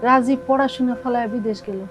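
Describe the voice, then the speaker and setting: A woman's voice: fairly high pitch, steady tone, fast talker, lightly acted, rough recording. Actress as plaintive Bangladeshi village mother, music behind. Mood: wistful. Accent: rural Bangladeshi. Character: careworn, affectionate widowed village mother of grown son. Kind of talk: TV drama acting in village dialect.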